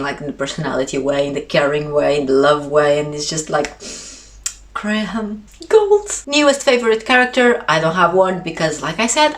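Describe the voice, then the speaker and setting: Lower-voiced woman, expressive book vlogger. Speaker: book reviewer video host. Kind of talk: casual chat to camera.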